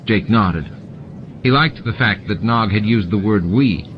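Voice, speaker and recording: Low-voiced male audiobook narrator, muffled. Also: measured pace. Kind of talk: scripted story narration. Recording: muffled, with clear background noise. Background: noise and ambient sound effects.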